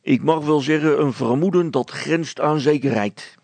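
Storybook constable, played by a male reader: stubborn, ponderous, suspicious, with a rough creaky voice. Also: fairly high pitch, moderate pace, a little mellow, dry recording, voice pushed up. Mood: self-important. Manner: hesitant, ponderous, solemn. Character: stubborn, self-important male village constable, solemnly suspicious.

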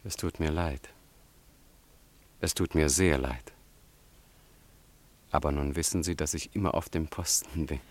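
An actor playing a polite, persuasive young student who serves as a bodyguard in a German radio drama, deferential and measured. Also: male voice, very deep voice, dry recording. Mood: reassuring. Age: young adult.

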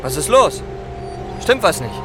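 A man's voice: sits high, big pitch swings, unhurried, over music. An actor playing a wary, practical stranded man in a German radio crime drama.

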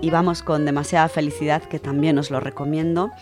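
A woman's voice: low-pitched, little pitch movement, brisk. A woman with an accent from Spain, speaking enthusiastically as a radio contributor in a conversational book segment. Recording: music under the voice. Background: background music.

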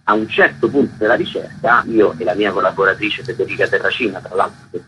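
A man's voice: deep voice, fast, pushed and bright, highs cut off, soft background music. A man being interviewed on radio as a theatre author, explaining his work in an articulate way.

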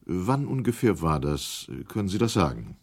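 Low-voiced, formal inquiry chairman. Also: sing-song, moderate pace, breathy edge, exaggerated voice. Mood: considerate, inquiring. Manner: formal, courteous, official questioning. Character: male committee chairman, formal, courteous inquiry examiner. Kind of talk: documentary radio drama hearing.

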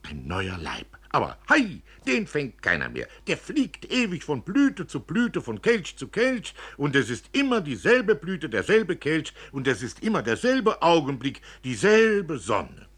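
A man reading an earnest, husky storybook father. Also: fairly high pitch, wildly swooping pitch, measured pace, hardly any pauses, a bit bright, pitched above the performer's own voice, heavily acted. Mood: rapturous, earnest. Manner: earnest, murmuring, philosophical. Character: tender, solemn father musing gently about death.

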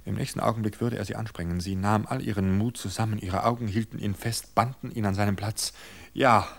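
Male narrator, gravelly, lowish, measured storytelling, studio radio play. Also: steady tone.

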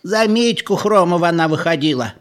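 A man voicing an excitable old villager in an audiobook, bickering insistently in rustic colloquial speech. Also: high-pitched, a little fast, pitched above the performer's own voice.

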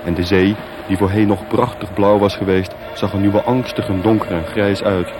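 A man's voice: fairly low pitch, fairly even intonation, moderate pace, background noise. A man narrating a radio play as scripted, dramatic storytelling. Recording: music bed behind. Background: music.

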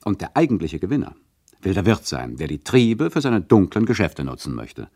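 An actor playing an ironic, chatty radio play narrator who lectures the listeners in a mock-didactic, hesitant way. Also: male voice, low-pitched, very animated, normal speed, darkish tone, no echo, studio quality, exaggerated voice. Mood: wry.

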